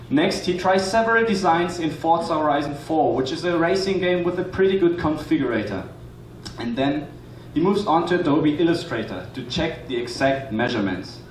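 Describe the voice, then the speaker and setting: A man in his twenties presenting a rehearsed pitch as a student on a hall stage. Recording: echoey, noisy hall, distant microphone pickup.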